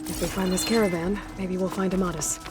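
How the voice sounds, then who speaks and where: An actress playing a determined young female hunter in a video game, calm and matter-of-fact. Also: lowish voice, brisk, lightly acted. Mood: focused. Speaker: game protagonist. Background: game music.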